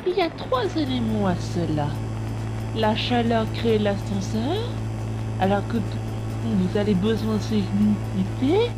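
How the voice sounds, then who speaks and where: A woman's voice: medium pitch, big pitch swings, poor audio. Swooping, theatrical cartoon villainess acted by a woman. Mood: smug. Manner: taunting, drawn-out, swooping. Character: theatrical mocking villainess, fortune-telling astrologer. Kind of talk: cartoon comic fan dub. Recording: music behind the voice.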